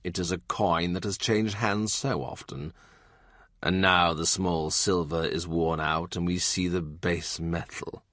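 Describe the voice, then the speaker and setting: A cold, quietly scornful statesman, voiced by a man reading aloud. He speaks in a soft, low, amused murmur, deep and hushed. The recording is dry and close. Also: fairly even intonation, measured pace, dry recording.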